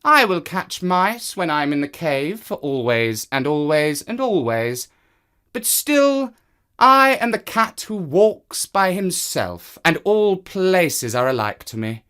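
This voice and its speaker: A storyteller voicing a sly, proud, aloof cat in a children's tale, with a drawn-out, self-satisfied delivery. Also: medium pitch, very animated, fairly bright tone, clear, resonant tone, pitched above the performer's own voice. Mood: defiant.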